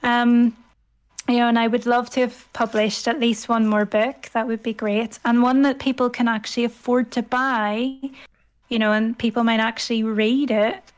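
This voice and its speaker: A woman's voice: fairly high pitch, level tone, moderate pace, clear, resonant tone. A woman in her thirties with a Northern Irish accent and a youthful, girlish voice, chatting casually as an interview guest in a hesitant, self-deprecating way. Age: 30s. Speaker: interview guest, author and podcaster. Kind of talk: casual chat.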